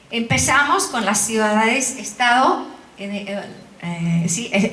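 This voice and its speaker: A woman reads a conference paper in a careful, measured way, her showing through. Her voice sits fairly high, and she sounds far from the mic. A large hall echoes around her. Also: fairly bright tone, slight background noise. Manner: careful, measured reading with hesitations. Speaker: conference speaker. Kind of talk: academic talk read from a script.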